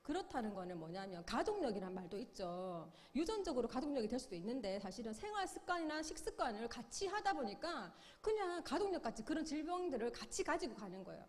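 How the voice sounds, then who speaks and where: Woman, middle-aged, seminar speaker, animated delivery, long hall reverb. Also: a little high, fast, hardly any pauses. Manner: upbeat, explains with questions and acted-out quotes. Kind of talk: sales seminar lecture.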